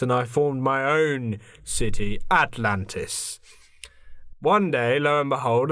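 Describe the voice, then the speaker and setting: A man's voice: a little high, fairly bright tone, no echo, studio quality. A man playing a doddery, pompous, lewd immortal old mayor who rambles and repeats himself indignantly in a comic radio play.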